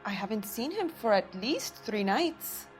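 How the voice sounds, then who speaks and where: Defiant young woman, fairly high-voiced. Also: very animated, a little slow, low-quality recording. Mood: anxious. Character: defiant, loyal pregnant girlfriend of a car thief. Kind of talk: dramatic game acting. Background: background music.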